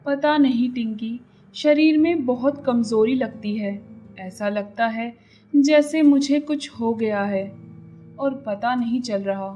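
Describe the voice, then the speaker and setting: A woman voicing a male crow turned hungry demon in a cartoon moral story, speaking low and heavily in a slow, brooding way. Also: mellow, dull audio. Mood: weak, weary.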